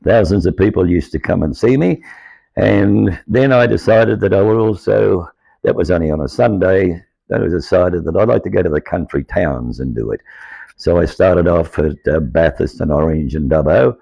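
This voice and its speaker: An older Australian man with a croaky, aged voice, telling his life story to camera in an earnest, rambling way. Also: low-pitched, moderate pace, dark tone, dry recording, rough recording. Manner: earnest, rambling, grows insistent. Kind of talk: personal monologue to camera.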